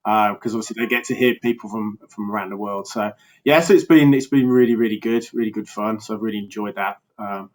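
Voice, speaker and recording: A man's voice: lowish voice, brisk. A man from England with a Birmingham accent, chatting casually as a podcast guest over a remote call, with frequent restarts and easy laughter. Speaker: podcast guest, health coach. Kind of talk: casual interview chat.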